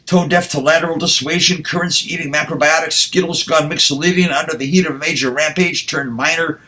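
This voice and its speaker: A man, a poet, speaking and reciting with deliberate, measured phrasing. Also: a little high, fairly even intonation, pushed and bright, poor audio. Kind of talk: spoken introduction and poetry recitation.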